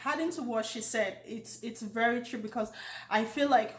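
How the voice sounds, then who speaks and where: A woman's voice: on the high side, moderate pace. A woman with a Nigerian accent, co-hosting a casual chat, talking with hesitations. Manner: chatty, with hesitations. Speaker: co-host. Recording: echoey room.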